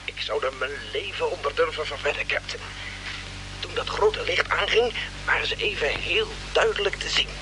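A man's voice: fairly high pitch, expressive, normal speed, lightly acted. A man acting an earnest, dutiful space freighter crewman in mid-century radio drama, deferential toward his superiors. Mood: earnest, shaken. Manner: deferential, earnest, hesitant when questioned. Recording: humming, noisy old radio drama recording.

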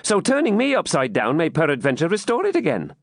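A man's voice: wildly swooping pitch, no echo, studio quality, exaggerated voice. A man voicing a witty young medieval jester in a pert, raised voice. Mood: cheeky. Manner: quick, pert, raised pitch.

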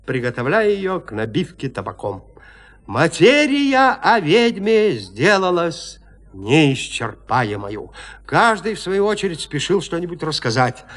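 A male narrator reads literary prose for an audio drama. His voice sits a little high and moves in lively, wide melodic swings, yet the delivery stays measured and theatrical. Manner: expressive, measured storytelling.

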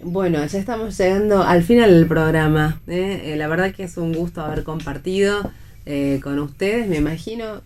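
A woman's voice: on the low side, moderate pace, darkish tone. A woman with an Argentine accent hosting a casual radio interview. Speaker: radio host. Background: music.

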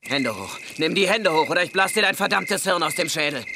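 A man's voice: fairly high pitch, animated, a little fast, creaky, noisy background. An actor playing an aggressive, foul-mouthed policeman who barks orders in a radio drama. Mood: aggressive, urgent. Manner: barking orders. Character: aggressive, foul-mouthed male American cop.

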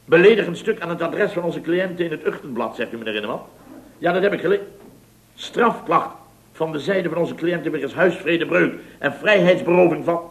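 Blustery high-pitched contractor boss. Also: male voice, animated, a little fast. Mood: flustered, placating. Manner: emphatic, theatrical, repeats himself. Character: blustery male building contractor boss, exasperated with staff. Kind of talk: 1970s radio comedy acting. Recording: noisy vintage radio recording with clicks.

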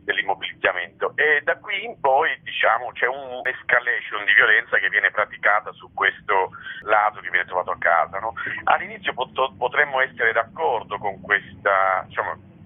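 Male interviewee, lowish pitch, reflective and explanatory, unscripted delivery, muffled phone-call sound. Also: lively intonation, normal speed. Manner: reflective, explanatory, often tagging phrases with "no?". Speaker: playwright and theatre director being interviewed. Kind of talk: spontaneous interview answers. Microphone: telephone. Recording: muffled narrow-band telephone line.

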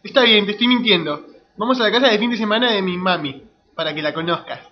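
A woman's voice: medium pitch, fast, lo-fi sound, close to an everyday voice. A woman with an Argentine accent playing a brusque, impatient young girlfriend in a comic radio serial. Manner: curt, bossy.

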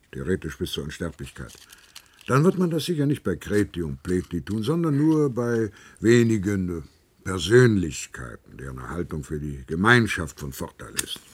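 A male actor playing a blunt, patronizing older professor of medicine in a German radio play, with a husky voice. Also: sits low, no echo, exaggerated voice. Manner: patronizing, pragmatic, lecturing.

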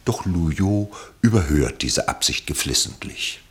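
Narrator for radio drama: male, low and heavily breathy-rough, measured scripted storytelling with a dry irony. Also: expressive.

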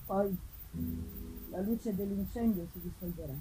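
A woman voicing a remorseful, anguished male monster resigned to death, in a grave, deliberate, solemn dramatic reading. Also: medium pitch, lightly acted, rough recording. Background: live plucked guitar music.

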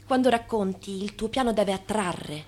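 A woman playing a sharp, sceptical, long-suffering wife in a radio theatre drama. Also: mid-range voice, moderate pace, close to an everyday voice. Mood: wary, resigned.

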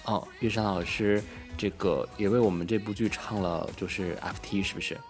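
A man's voice: lowish voice, steady tone, normal speed, soft background music. A man casually hosting a live fan event and introducing guests. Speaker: host of a live audio drama fan event.

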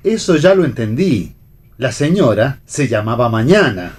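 A man's voice: mid-range voice, very animated, a little slow, breathy edge. A man playing a baffled, plain-spoken husband in a radio theatre reading.

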